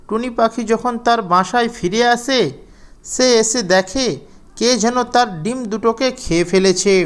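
A man with a very high-pitched, smooth voice. He narrates a scripted tale for children, with clean, resonant tone. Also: a bit bright.

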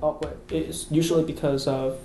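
A young man, an international student, speaking English thoughtfully in an interview with soft laughs. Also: flat delivery, moderate pace. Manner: thoughtful, gestures, laughs softly. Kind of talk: casual interview. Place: college room by windows. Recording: echoey room with faint rumble.

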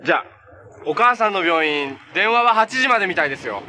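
A man's voice: high voice, lively intonation, a little fast, sharp tone, exaggerated voice. An actor playing an easygoing, kind young male hero in a TV superhero drama, speaking in a friendly, earnest, casual way. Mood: concerned. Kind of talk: naturalistic Japanese TV drama acting. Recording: TV drama audio with noticeable background noise.

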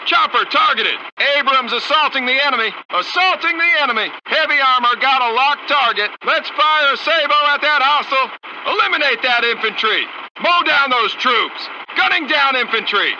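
American army tank commander for a game, high sharp voice, clipped lines, muffled radio w a bit of noise. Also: male voice, vocal fry, exaggerated voice. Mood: urgent, aggressive. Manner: terse, brisk, forceful. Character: gung-ho American military tank crew commander. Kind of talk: clipped military unit voice lines in a strategy game. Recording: dull radio sound with faint noise.

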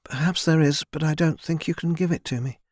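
A man voicing a timid young woman, high and hushed. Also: fairly even intonation, a little fast, no echo, clean studio sound, natural voice. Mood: hesitant. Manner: soft, hesitant, stammering. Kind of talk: audiobook character voice.

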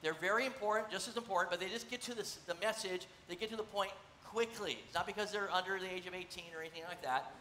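A middle-aged man preaching a casual, conversational sermon from a church stage, animated and gesturing as he teaches. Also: high voice, quick pace, few pauses. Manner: animated, conversational, gesturing while seated on a stool. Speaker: pastor. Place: church stage, large room. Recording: echoey church room, faint noise.

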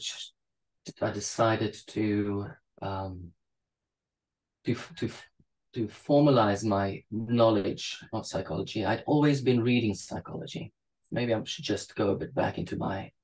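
South african guy on a video call, lowish voice, thoughtful, restarts a lot. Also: a little slow, darkish tone, poor audio. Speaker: psychologist guest. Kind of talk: interview over video call. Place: small room indoors. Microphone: video call webcam.

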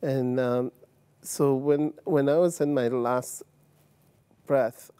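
A man from Uganda in his fifties, telling his life story as a guest on a TV studio set, halting with many fillers and restarts. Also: medium pitch, fairly even intonation, mellow, smooth, no echo. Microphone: lapel mic.

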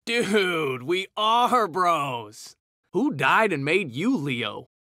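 A man's voice: sits high, very animated, drawn-out words, pushed and bright, dry recording, clean studio sound, heavily acted. A man voicing a cocky, hot-tempered young mutant ninja turtle, trading brash, slangy taunts in a fighting video game. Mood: cocky, taunting. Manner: brash, slangy trash talk.

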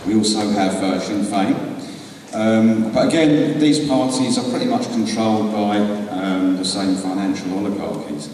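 A man in his forties with a British accent, giving a conference talk into a handheld mic with many ums and pauses. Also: lowish voice, a little mellow, a little hiss or hum. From England. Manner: halting, many ums and uhs. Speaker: conference speaker. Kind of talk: public talk. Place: large hall on a stage. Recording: echoey hall from far off.

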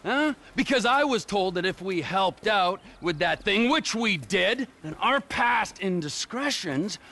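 Casting: unhinged, mocking middle-aged thug for a game, swinging pitch, hard bright edge. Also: male voice, expressive, a little slow, full character voice. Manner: aggressive, mocking, profane. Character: volatile, sneering, psychotic middle-aged male criminal. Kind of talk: video game cutscene acting.

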